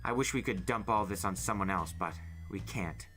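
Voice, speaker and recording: A man's voice: low voice, normal speed, sharp tone, soft background music. A man voicing a bold, decisive teenage girl in a story, with an assertive, demanding manner. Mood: resolute.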